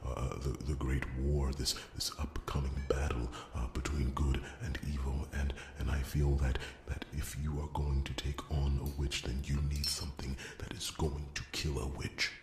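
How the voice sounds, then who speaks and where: A man playing a nervous, rambling blacksmith in a fantasy ASMR roleplay, soft and breathy, often near a whisper, stammering and apologetic. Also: a bass voice, flat delivery, normal speed, few pauses, echoey room, slight background noise. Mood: eager, earnest. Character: nervous, rambling, beer-loving male blacksmith in fantasy realm.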